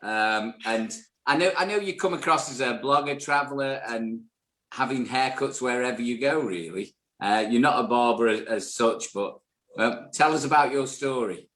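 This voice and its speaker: A man's voice: medium pitch, normal speed, reverberant. A British man hosting a podcast, welcoming a guest with plenty of fillers. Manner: warm welcoming intro with fillers. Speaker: podcast host. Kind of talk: casual podcast interview.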